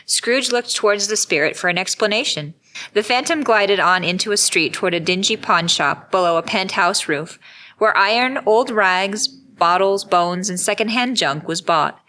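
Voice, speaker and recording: Bright-voiced woman narrating a story. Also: mid-range voice, normal speed. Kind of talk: scripted dramatic story narration.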